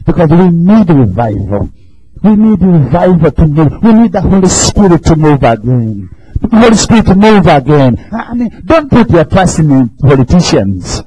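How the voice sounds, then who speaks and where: East african guy preaching hard in a church, high voice swooping up and down, shouts a lot. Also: very animated, moderate pace, slightly rough, dry recording, poor audio. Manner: fervent, shouting, chuckling between lines. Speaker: visiting preacher. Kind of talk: sermon.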